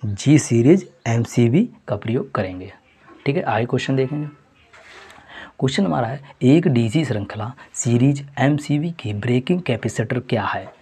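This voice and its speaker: A man with a husky, slightly breathy voice works through a mock test as a teacher. His pitch sits in an ordinary middle range. He repeats the answers and keeps calling his viewers friends. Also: animated, normal speed, mellow. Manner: repetitive, addresses viewers as friends. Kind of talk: exam question walkthrough.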